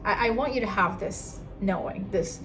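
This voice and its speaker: A woman's voice: mid-range voice, moderate pace, noisy background. A young woman with a Portuguese accent hosting a casual solo podcast, talking earnestly with many fillers and restarts. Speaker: podcast host.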